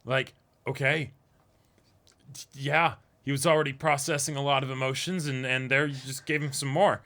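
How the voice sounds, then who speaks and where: A man's voice: medium pitch, steady tone, moderate pace, sharp tone. A man co-hosting a casual reaction discussion, agreeable and conversational, chiming in as he goes. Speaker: reaction show co-host.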